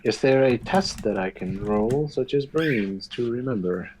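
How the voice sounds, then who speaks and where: A man's voice: medium pitch, expressive, measured pace, darkish tone, slight background noise. A man playing a tabletop game, asking curious, upbeat questions in casual chat. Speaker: tabletop player.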